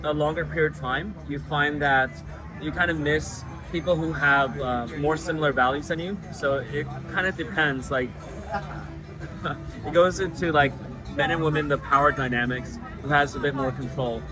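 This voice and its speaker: A man from the United States, a guest chatting casually in an interview, relaxed and full of fillers. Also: fairly high pitch, normal speed, music in the background, rough recording. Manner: relaxed, frequent fillers and laughs.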